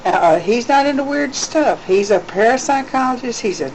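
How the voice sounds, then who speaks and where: A woman's voice: a little high, lively intonation, moderate pace, darkish tone. A woman working as a psychic gives casual one-to-one advice, instructive and emphatic, often repeating herself for weight. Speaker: psychic giving a private reading. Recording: noisy home cassette tape with hum.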